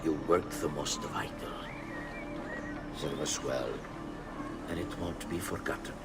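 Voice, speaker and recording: Commanding master conspirator, deep, measured menace, music behind. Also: male voice, steady tone. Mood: coldly commanding. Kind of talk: cinematic game acting.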